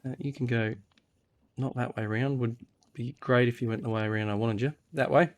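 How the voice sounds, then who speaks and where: Husky, slightly nasal man, under the weather. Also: medium pitch, steady tone, brisk. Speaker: host of a gaming series. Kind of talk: casual game walkthrough commentary.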